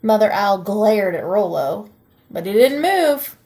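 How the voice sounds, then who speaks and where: A woman teacher reading a children's picture book aloud with slow, expressive storytime delivery. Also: medium pitch.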